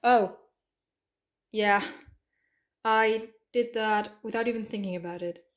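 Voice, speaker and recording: A woman's voice: mid-range voice, fairly even intonation, takes it slowly, natural voice. A woman playing a quirky, talkative, self-doubting alien time traveller in an audio drama, rambling eccentrically. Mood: earnest. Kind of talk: fan audio drama acting.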